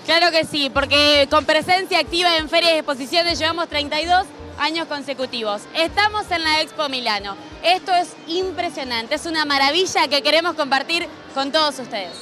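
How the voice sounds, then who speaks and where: Woman reporter, high voice, upbeat, faint music under her. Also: a little fast, bright, forceful tone. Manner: enthusiastic, presenter-like. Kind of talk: TV reporting and interviewing.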